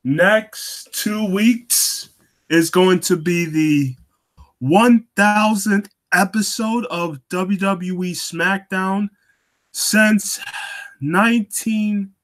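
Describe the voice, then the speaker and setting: A young man hosting a casual talk show on his own, getting over a cold and coughing now and then, halting with lots of ums and restarts. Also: high voice, fairly bright tone. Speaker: wrestling show host.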